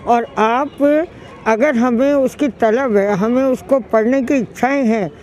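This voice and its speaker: A woman's voice: a little high, takes it slowly, darkish tone. Middle-aged woman, fond emphatic answers. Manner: fond and emphatic, repeats herself. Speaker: longtime newspaper reader interviewee. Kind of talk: street interview answers.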